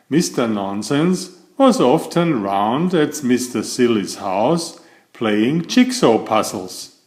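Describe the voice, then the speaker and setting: A man's voice: medium pitch, darkish tone. A man reading a children's bedtime story aloud expressively, putting questions to the listener. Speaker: storybook reader.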